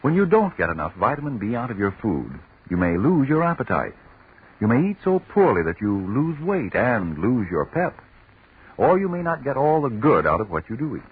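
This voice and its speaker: A man playing a reassuring pitchman in a 1940s radio commercial, on a tinny old recording. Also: big pitch swings, moderate pace, husky. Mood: reassuring, persuasive. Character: reassuring male pitchman advising a tired young woman. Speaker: radio advertisement announcer.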